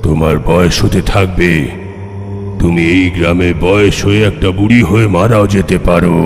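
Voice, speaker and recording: A man playing a grave, all-knowing underworld guardian in a dramatic cartoon dub, speaking in solemn, foreboding instruction. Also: deep voice. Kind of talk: dramatic dubbed cartoon dialogue. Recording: long hall reverb, faint noise.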